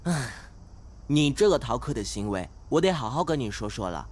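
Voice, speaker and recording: An actor playing an earnest, oblivious teenage class monitor who talks in a preachy, naive way in an audio drama. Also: male voice, medium pitch, big pitch swings, moderate pace, darkish tone. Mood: earnest lecturing. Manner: preachy, matter-of-fact, naive. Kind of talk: modern audio drama acting.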